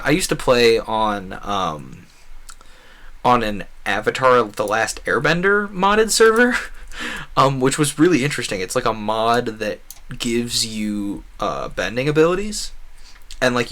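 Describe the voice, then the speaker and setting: Casual man rambling while gaming. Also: medium pitch. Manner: animated, acts out quoted conversations. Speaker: video game streamer. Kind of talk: casual rambling gameplay commentary.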